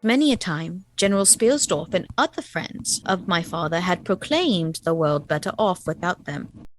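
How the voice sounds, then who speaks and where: An actress playing a haunted young gentlewoman recounting a gothic tale in a radio play. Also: on the low side, expressive, moderate pace, a little hiss or hum, lightly acted, low-quality recording. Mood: reflective. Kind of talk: dramatic first-person narration in a radio play.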